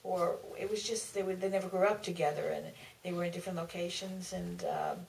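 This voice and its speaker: Female author at a live Q&A, lowish with vocal fry, hesitant, slightly noisy theater sound. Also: fairly even intonation, a little fast, darkish tone. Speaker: author on a Q&A panel. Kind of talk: informal panel answer. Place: theater stage. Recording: distant theater mic, faint noise.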